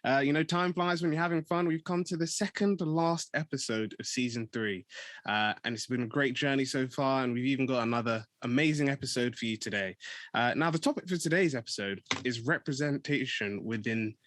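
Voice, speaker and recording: A man's voice: medium pitch, a little fast, a bit bright, dry recording. Chatty young man hosting a podcast. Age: young adult. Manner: chatty, many fillers and restarts. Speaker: podcast host. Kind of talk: casual interview hosting.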